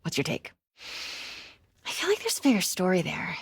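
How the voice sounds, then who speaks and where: An actress playing a determined, caring young newspaper reporter with an American accent, in naturalistic, conversational video game dialogue with wry asides. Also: female voice, on the low side, huge pitch swings, moderate pace, vocal fry, husky, no echo, studio quality, heavily acted. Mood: wry, inquisitive.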